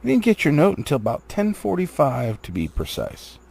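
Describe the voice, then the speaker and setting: A man's voice: on the high side, wildly swooping pitch, normal speed, creaky, a little mellow, breathy edge, pitched above the performer's own voice, exaggerated voice. A man voicing a fussy, flustered little hobbit from a storybook, stammering and apologetic.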